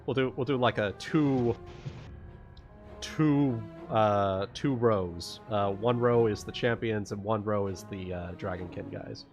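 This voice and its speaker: A man's voice: on the low side, slow pace, soft background music. A man acting as game master, narrating casually and often repeating or rephrasing himself as he goes.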